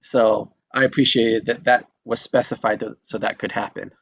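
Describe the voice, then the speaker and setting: A man's voice: on the low side, fairly even intonation, moderate pace, fairly bright tone, slight background noise. A man hosting a casual group discussion on a video call, speaking in an encouraging, affirming way. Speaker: host. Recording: dry, slightly dull audio.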